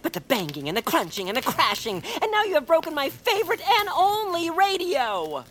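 A woman's voice: very high voice, sing-song, fairly quick, a little hiss or hum. A woman playing a polite, fussy, anxious homebody in an audio drama, talking in a fretful, long-suffering way. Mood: exasperated. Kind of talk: audio fiction acting.

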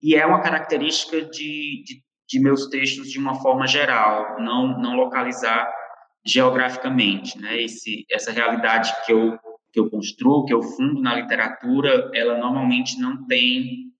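A man, a novelist guest in an interview, speaking haltingly with many restarts. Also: mid-range voice, little pitch movement, bright, forceful tone, lo-fi sound. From interior of Ceará, Brazil. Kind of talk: casual panel interview. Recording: echoey room with long reverb.

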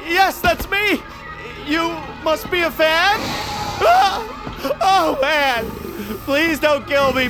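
An actor playing a cowardly, vain movie star who stammers, wheedles and brags in an audio drama. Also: male voice, very high-pitched. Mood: panicked pleading. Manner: panicked stammering, wheedling and boastful.

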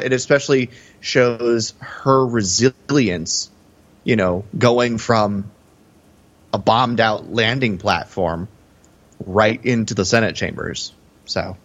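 A man's voice: mid-range voice, fairly bright tone. A man co-hosting a podcast, giving casual analysis of a film. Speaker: podcast co-host. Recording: dull, lo-fi sound.

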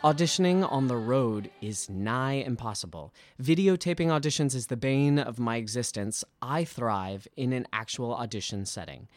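A man who works as a stage actor reads his own scripted personal essay with polished, deliberate delivery. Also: medium pitch, expressive. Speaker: musical theatre actor.

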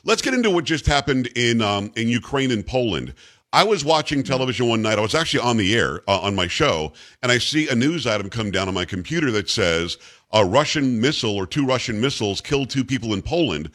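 A man's voice: mid-range voice, lively intonation, fairly quick, fairly bright tone. A man hosting a talk show and interviewing a guest. He talks enthusiastically, with frequent stumbling restarts.

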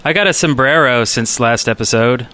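A man's voice: mid-range voice, normal speed, bright, forceful tone. A man co-hosting a casual gaming podcast with an easygoing, rambling way of talking. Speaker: podcast co-host.

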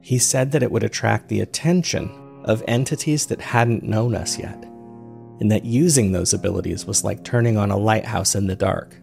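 A man narrating a first-person audio drama as a weary, reflective man, measured and introspective in his storytelling. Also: on the low side, creaky, darkish tone, faint music behind. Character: weary man recounting strange events, reflective and uneasy. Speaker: narrator and host of a fiction podcast.

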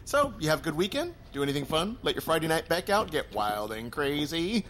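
A man's voice: a little high, sing-song, a little fast, fairly bright tone, slight background noise. A man playing a chirpy, corny office boss who nags with peppy politeness in an audio drama. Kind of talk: audio fiction acting.

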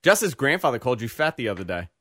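Animated man, teasing talk-show banter. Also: medium pitch, fast, no echo, studio quality. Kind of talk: casual, joking banter.

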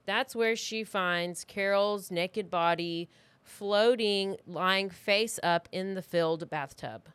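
A woman podcast host tells a story casually, as if chatting with a friend. Her voice sits at an average pitch, and she is informal and blunt, recorded close and dry. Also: slow pace, fairly bright tone, smooth, dry recording. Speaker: true crime podcast host.

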